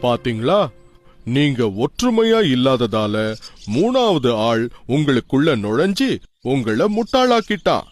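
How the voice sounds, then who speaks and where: Man playing a slow-talking wise elder. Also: mid-range voice, big pitch swings, soft background music. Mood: admonishing. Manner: measured, instructive. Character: wise, admonishing elder man, kindly teacher-like authority. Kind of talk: children's animated story moralizing.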